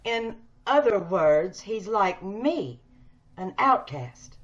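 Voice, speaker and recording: A woman's voice: mid-range voice, sing-song, takes it slowly, a crowd in the background. A woman playing a bitter, imperious, disfigured recluse in an old-time radio drama, theatrical and despairing. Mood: bitter, brooding. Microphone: video call. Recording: dull video-call audio.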